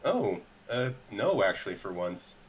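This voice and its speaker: A man's voice: lowish voice, very animated, a little slow. A man roleplaying casually in a tabletop game, hesitant and thinking aloud as he talks. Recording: clearly noisy background.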